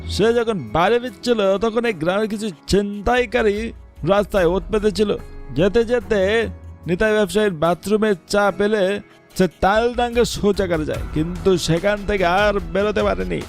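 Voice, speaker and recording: A man voicing a village uncle in a horror cartoon, creaky-voiced, admonishing and gravely telling the tale. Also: sits high, moderate pace, soft background music. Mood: grave, explanatory. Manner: admonishing, expository storytelling. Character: village uncle, scolding, gravely explaining a haunting.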